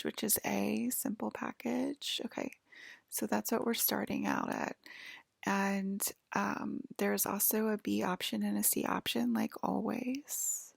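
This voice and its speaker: Whispery, creaky woman doing slow ASMR roleplay. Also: mid-range voice, no echo, studio quality. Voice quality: breathy, whispery. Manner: halting, hushed, soothing clerk-like explaining.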